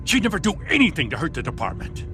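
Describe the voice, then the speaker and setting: A puzzled, high-voiced cop. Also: male voice, wildly swooping pitch, quick pace. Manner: puzzled, defensive. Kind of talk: video game voice acting. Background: music.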